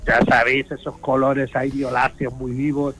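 A man's voice: mid-range voice, flat delivery, moderate pace, a bit bright, a little hiss or hum, rough recording. Enthusiastic man talking wine. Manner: enthusiastic, rambling with restarts. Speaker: wine expert commentator. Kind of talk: casual radio chat.

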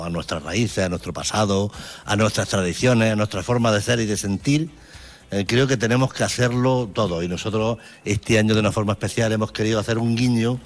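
Earnest low-voiced mayor. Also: male voice. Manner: earnest, rhetorical, repeats phrases in lists. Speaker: town mayor. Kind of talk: speech-like interview answer.

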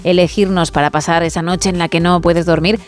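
A woman's voice: fairly low pitch, steady tone, brisk. Chatty middle-aged Spanish woman radio host. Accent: Spain Spanish. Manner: chatty, playful. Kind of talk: casual late-night radio phone-in chat. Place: radio studio. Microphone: studio microphone.

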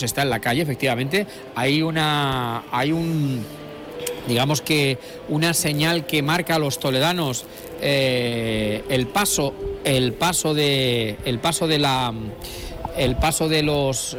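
A middle-aged man from La Mancha, giving discursive live commentary on a procession with many fillers and restarts. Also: fairly high pitch. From La Mancha, Spain. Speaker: broadcast commentator. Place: outdoors at a street procession. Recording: live street broadcast with crowd noise. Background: crowd.

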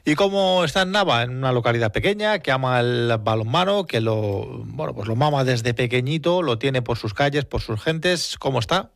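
A man's voice: medium pitch, animated, normal speed, gravelly, few pauses. Male sports radio presenter from Spain. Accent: Spanish from Spain. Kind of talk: sports news commentary linking interview clips.

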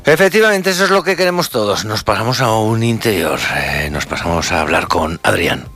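A man hosting a live radio sports show, upbeat and brisk in his links between segments. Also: on the low side, animated, a bit bright, husky, soft background music.

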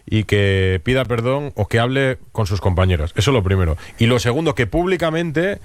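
A Spanish-accented man hosts a radio sports talk show, making his points with firm emphasis. His voice sits at a middle pitch. The studio sound is dry and close. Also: lively intonation, a little fast, no room sound. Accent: Spanish from Spain. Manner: assertive, emphatic opinions.

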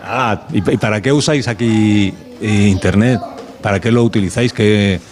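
A man interviewing children in a rural school classroom, chatty and playful, with many quick questions. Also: on the low side, a little mellow. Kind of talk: casual chat with children.

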